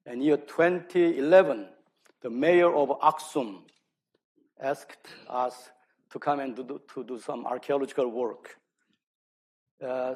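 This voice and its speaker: Male lecturer, native Korean speaker with Korean accent. Medium pitch, halting delivery, self-deprecating academic talk. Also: a little mellow. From South Korea. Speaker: lecturer, archaeology scholar. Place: speaking live to an audience at an event.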